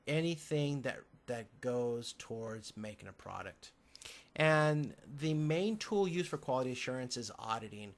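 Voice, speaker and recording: A man lecturing from slides, explaining step by step in a halting way. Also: mid-range voice.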